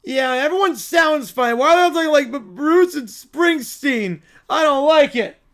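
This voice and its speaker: A man voicing a whiny, frightened small child in a slow, plaintive cartoon parody voice. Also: extremely high pitch, animated, fairly bright tone, voice pushed up, full character voice. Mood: whiny, upset.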